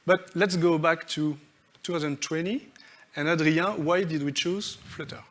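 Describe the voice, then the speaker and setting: A man speaks from a conference stage, his voice sitting a little on the high side. He moves through his points one by one, stopping often on fillers and restarting his sentences. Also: steady tone. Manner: halting, many fillers and restarts, listing points. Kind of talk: tech conference talk.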